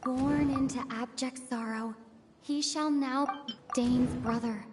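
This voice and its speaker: A woman's voice: high-pitched, fairly even intonation, slow pace, distant mic, over music. An actress playing a composed young woman traveler in a video game, speaking steadily and seriously.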